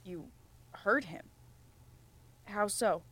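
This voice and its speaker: A woman playing a firm but gentle research scientist in an audio drama, speaking in a measured, formal interviewer's manner. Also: medium pitch, slightly rough, heavily acted. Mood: probing, calm. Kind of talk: student audio drama acting.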